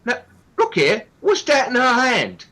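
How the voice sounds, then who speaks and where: A man playing a jaunty, easily astonished gentleman in vintage radio comedy style, hammy and exclamatory. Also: very high voice, wildly swooping pitch, a little slow, exaggerated voice. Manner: hammy, old-fashioned exclamatory.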